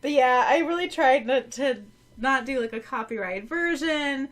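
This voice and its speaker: A woman's voice: sits high, moderate pace, a bit bright. A woman co-hosting a podcast, chatting loosely about a story chapter and giggling often. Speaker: podcast co-host. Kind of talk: casual book-club chat.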